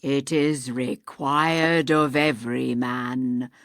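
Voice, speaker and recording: A woman voicing a doomed, chained male ghost who speaks slowly and gravely in a mournful lament. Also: steady tone, no room sound, studio quality, full character voice. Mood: solemn. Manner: slow, grave, booming lament. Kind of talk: storybook reading.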